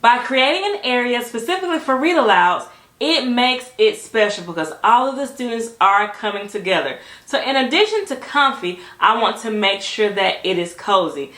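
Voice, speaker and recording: A woman teacher presents a lesson for educators in a bright, forward voice that sits on the high side. She phrases her points deliberately and with emphasis, often posing a question before answering it. The room adds a noticeable echo around her. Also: sing-song. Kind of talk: instructional talk to camera for educators.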